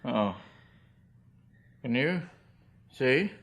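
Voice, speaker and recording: An actor playing a drunk, despairing, blind British pub landlord, slurred and rambling, in a radio drama. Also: male voice, medium pitch, animated, extremely slow, heavy background noise. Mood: gruff, drunk.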